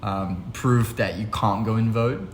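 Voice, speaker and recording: A man's voice: on the low side, steady tone, a little slow. A young Belgian man in his twenties with a Dutch accent, a guest chatting casually in an interview and often hesitating and repeating words. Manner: hesitant, repeats words and uses many fillers. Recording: echoey room with faint background noise.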